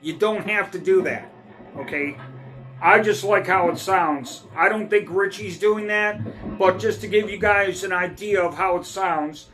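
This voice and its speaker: Unhurried man teaching guitar. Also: sits high, poor audio. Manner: relaxed, repeats "all right?" and "okay?" checks. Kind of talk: casual guitar lesson. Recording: faint guitar playing between phrases.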